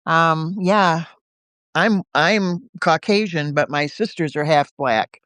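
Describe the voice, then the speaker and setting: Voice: mid-range voice, fairly bright tone, no echo, studio quality. A chatty livestream host at home in the countryside, recovering from a bad cold, talking casually and laughing often. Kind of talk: casual live-video chat.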